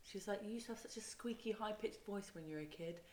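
A woman's voice: medium pitch, fast talker, a little mellow, reverberant, slight background noise. A podcast co-host, a woman, chatting casually, laughing often and telling rambling stories. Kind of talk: casual chat.

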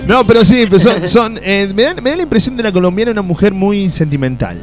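A man hosting a radio interview with a Rioplatense Spanish accent, joking and teasing his guest, often dropping into low asides. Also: expressive, fast. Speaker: radio host. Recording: music playing behind the voice.

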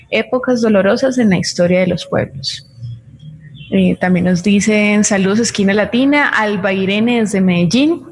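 A woman with a mid-range voice serves as co-host. She reads listeners' messages aloud, giving each sender's name along with the comment. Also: mid-range voice. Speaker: co-host reading audience comments.

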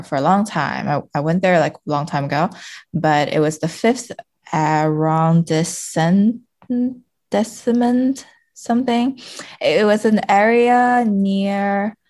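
A woman's voice: fairly low pitch, a little mellow. A woman in her twenties discussing books casually as a podcast guest, talking tentatively and informally as she searches for words. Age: 20s.

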